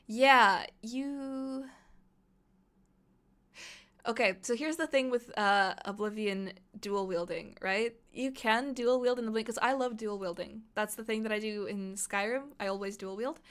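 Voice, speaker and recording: Young woman, Russian native speaker, live streamer, chatty and excitable, lots of fillers. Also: fairly high pitch, takes it slowly, smooth. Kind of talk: live reaction stream, reading chat.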